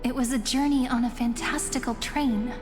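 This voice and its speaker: A woman's voice: fairly high pitch, steady tone, normal speed, natural voice. A woman playing a reflective chronicler in a video game, narrating her memories in an earnest, literary manner. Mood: wistful, reflective. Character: reflective female chronicler recalling her ruler's life. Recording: reverberant, music behind.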